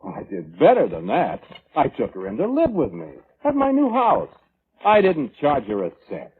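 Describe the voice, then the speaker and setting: An actor playing a defensive, weaselly brother testifying at an inquest, halting and evasive, in old radio drama style. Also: male voice, fairly high pitch, wildly swooping pitch, slightly rough, heavily acted. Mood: indignant. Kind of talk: 1940s radio drama acting. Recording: tinny, noisy old radio recording.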